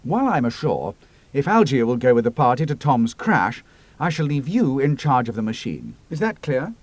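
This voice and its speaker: A man voicing a brisk, commanding male squadron leader who gives crisp, decisive orders. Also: medium pitch, very animated, darkish tone, no echo.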